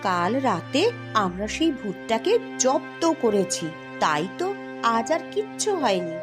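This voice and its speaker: A woman voicing a bold, commanding young princess in a cartoon, firm and deliberate. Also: medium pitch, very animated, over music. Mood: calm, explaining. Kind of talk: cartoon voice acting.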